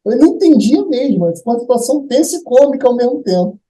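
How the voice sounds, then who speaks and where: A man's voice: very high voice, expressive, fast, dark tone, echoey room, poor audio. A Brazilian man, a guest, chatting casually and telling stories in a somewhat hesitant way. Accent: Brazilian Portuguese. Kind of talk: casual conversation.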